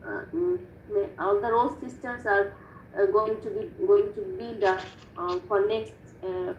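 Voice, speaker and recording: A woman answering practice speaking-test questions over a video call, hesitant with many fillers and restarts. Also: mid-range voice. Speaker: IELTS student, mother of two. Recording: dull echoey call audio with faint noise.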